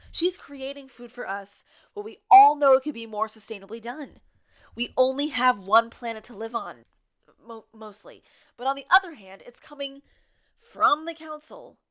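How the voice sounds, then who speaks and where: A female co-host in a radio drama, with a fairly high, sharp-edged voice. She rambles with real conviction, wary of those in power, and keeps circling back to argue the other side. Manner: impassioned, argues back and forth with herself. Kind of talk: audio drama as casual radio broadcast.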